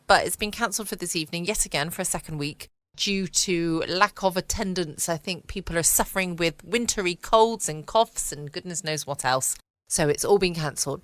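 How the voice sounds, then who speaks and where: A woman's voice: lowish voice, a bit bright, clean tone, no echo. A woman with a southern English accent chatting casually and cosily as host of a bedtime podcast. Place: small home office studio.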